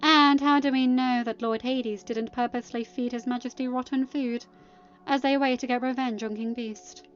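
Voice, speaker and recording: A woman voicing a haughty, scornful older queen in a storybook reading, sharp and accusing. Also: fairly high pitch, steady tone, normal speed, muffled recording, soft background music, voice pushed up, lightly acted.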